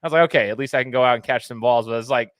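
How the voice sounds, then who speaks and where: A man from Idaho hosting a casual interview, chatty and animated, asking long winding questions. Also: medium pitch, very fast, dry recording. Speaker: podcast host.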